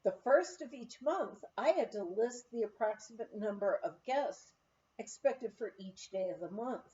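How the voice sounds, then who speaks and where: A woman reading a humorous memoir aloud as scripted narration, in an unhurried storytelling manner, on a slightly dull recording. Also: on the high side, lively intonation, mellow. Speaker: audiobook reader.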